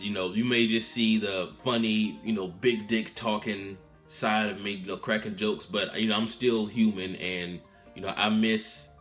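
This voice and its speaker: A man in his twenties talking casually to his viewers in a candid, rambling vlog update, recorded in a room at his mother's house. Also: lowish voice. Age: 20s. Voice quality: loud voice. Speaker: vlogger.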